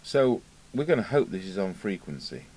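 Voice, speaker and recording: A middle-aged British man giving an informal, unscripted hands-on demonstration, musing aloud with hesitant pauses. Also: lowish voice, very animated, a little mellow. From United Kingdom. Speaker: radio repair technician. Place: indoor radio repair workbench. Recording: clearly noisy home workshop recording.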